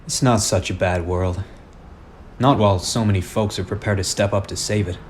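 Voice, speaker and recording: A man's voice: sits low, steady tone, moderate pace, slight background noise, lightly acted. A man playing an earnest, humble, plain-spoken superhero in a radio play. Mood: reflective. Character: earnest, folksy male superhero, part shark, humble and noble. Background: music.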